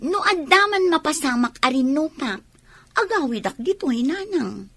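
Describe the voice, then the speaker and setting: A woman playing an ambitious, stubborn young village woman in a radio drama, with an Ilocano accent and an insistent, husky delivery. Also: sits high, big pitch swings, moderate pace, fairly bright tone, exaggerated voice.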